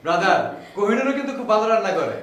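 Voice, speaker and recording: An actor playing a genial, talkative, curious husband hosting a guest in a TV drama, with a Bangladeshi Bengali accent and a familiar manner. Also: male voice, high-pitched, racing, a little hiss or hum. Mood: hospitable. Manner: animated, inquisitive, familiar. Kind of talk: TV drama dialogue. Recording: echoey room, music underneath.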